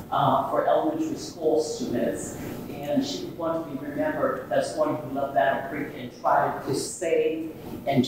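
A middle-aged woman giving earnest remarks to an audience after a readers theater performance, with many fillers. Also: low voice. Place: large indoor museum room. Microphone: far camera. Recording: echoey room from far off, noisy.

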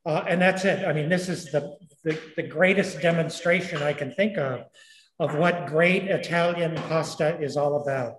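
An older man, a chef and teacher, giving a relaxed cooking demonstration in a large kitchen. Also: a little high. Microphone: camera at a distance. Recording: echoey, reverberant kitchen.

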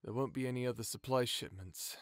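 A man voicing a tender, protective young man who speaks gently and reassuringly. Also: medium pitch, a little fast, no room sound, clean studio sound, close to an everyday voice. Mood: gentle. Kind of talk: full-cast audiobook acting.

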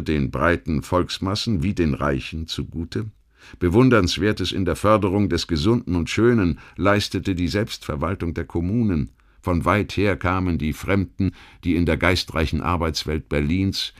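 A man narrating a history documentary audiobook, reading a literary text in a measured, articulate way with rhetorical emphasis. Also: sits low. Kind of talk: scripted documentary history narration, read aloud.

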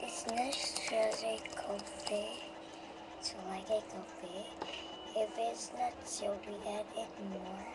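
A woman talks through a homemade cooking demo in a slow, halting way, naming each step as she goes. She is recorded on a webcam in a home kitchen, with loud hiss and room noise around her voice. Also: medium pitch, fairly even intonation. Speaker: home cooking show host. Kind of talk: casual cooking demonstration. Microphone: webcam microphone.

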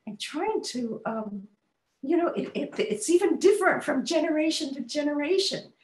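An older woman, an author, answering interview questions over a video call, pausing often with fillers and restarts. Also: high voice, darkish tone. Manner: halting, many fillers and restarts. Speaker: author and guest. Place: home study with bookshelves, indoors. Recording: roomy echoey home video call.

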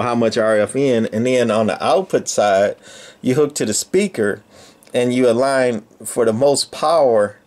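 Older male workshop host, folksy, halting, casual demo talk, mid pitch. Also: a little mellow. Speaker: radio repair channel host. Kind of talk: casual equipment demonstration. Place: indoor electronics workshop.

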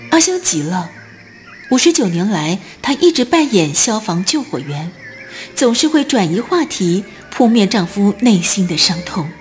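Casting: female drama narrator, expressive and deliberate, lively intonation, medium pitch. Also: soft background music. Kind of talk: scripted dramatic storytelling narration.

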